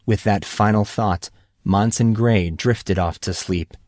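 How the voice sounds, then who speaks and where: A man's voice: lowish voice, fairly even intonation, slow pace, darkish tone, no echo, clean studio sound. A man reading a fantasy audiobook as a scripted narration.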